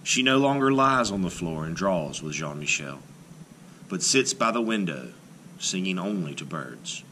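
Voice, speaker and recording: A man reading a children's picture book aloud expressively, with dramatic phrasing and deliberate pauses. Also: low-pitched, animated, sharp tone, slight background noise.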